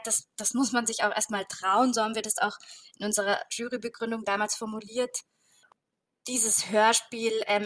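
A woman speaking as a jury member, giving earnest, considered commentary in deliberate phrases. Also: medium pitch, a bit bright.

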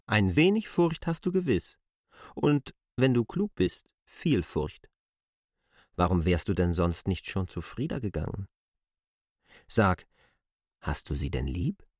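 Proud, menacing K., sharply varied intonation. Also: male voice, low-pitched, sing-song, slow, pauses often, breathy edge, no echo, studio quality, full character voice. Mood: taunting, menacing. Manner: formal, argumentative, menacing. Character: proud, domineering, dismissed master threatening his former servant. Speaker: lead actor. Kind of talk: literary radio drama acting.

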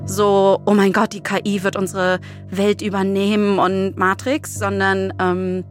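A woman chats casually as a podcast co-host, with blunt, everyday phrasing. Her pitch is average for a woman, and faint music runs behind her. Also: level tone, unhurried. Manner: colloquial, blunt, dropping English phrases in. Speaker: podcast co-host, comedy writer and screenwriter. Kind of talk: casual chat.

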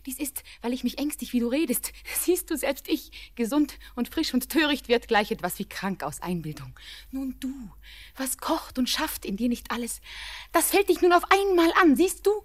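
A young devoted bride, high voiced. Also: female voice. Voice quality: intimate, pleading, breathy whispers. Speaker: Anna, the bride. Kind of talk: classic radio play acting.

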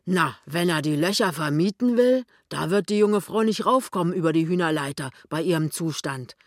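A narrator voicing a fat, blustering, grumbling shopkeeper husband in an audiobook, speaking loudly and colloquially. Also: mid-range voice, animated, normal speed, no room sound, clean studio sound, close to an everyday voice. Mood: blustering, incredulous. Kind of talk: audiobook character dialogue.

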